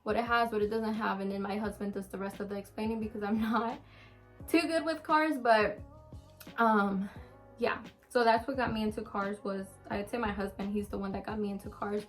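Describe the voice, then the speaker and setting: A woman's voice: mid-range voice, fairly even intonation, moderate pace, faint music behind. A woman of about twenty chatting casually to camera, answering viewer questions in a candid, rambling way with many ums. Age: around twenty. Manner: chatty, frequent ums and restarts, open and candid.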